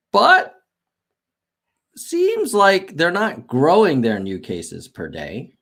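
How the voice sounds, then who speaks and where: Slow, lively-voiced American man chatting. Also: on the high side. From United States. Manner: musing aloud, frequent ums and self-corrections; reads viewer chat aloud. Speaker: livestream host. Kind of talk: casual data walkthrough on livestream.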